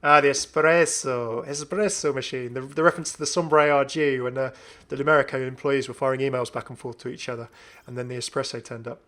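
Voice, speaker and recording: A man's voice: medium pitch, steady tone, fast, few pauses, a bit bright. A man hosting a gaming video, commenting casually and enthusiastically as he thinks aloud. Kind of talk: casual game update commentary.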